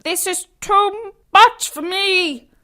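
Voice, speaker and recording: Little storybook elephant kid, high and honky through the nose, whiny protesting. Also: female voice, slow pace, pushed and bright, full character voice. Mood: pained, protesting. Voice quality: nasal, pinched. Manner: exclaiming, plaintive. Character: young elephant child, polite, pained, protesting, pinched nose.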